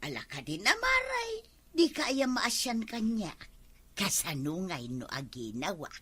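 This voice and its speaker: A woman with an Ilocano accent playing a brazen, whining village woman in a comedy drama, loud and wheedling. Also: medium pitch, huge pitch swings, unhurried, slightly rough, full character voice. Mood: wheedling, pleading. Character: brazen, whining village woman forever begging store credit.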